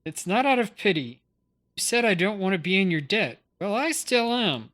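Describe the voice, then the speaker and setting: A woman's voice: mid-range voice, very animated, moderate pace, a bit bright. An actress playing a young female traveler in a video game, earnest and composed with a conversational delivery. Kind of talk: scripted video game dialogue.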